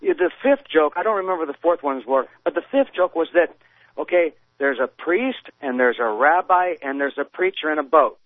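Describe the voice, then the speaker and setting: A man's voice: on the high side, lively intonation, normal speed, dry recording. A man calling in to a radio show, rambling and argumentative, stumbling over his words. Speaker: caller. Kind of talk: phone-in conversation. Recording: tinny phone line.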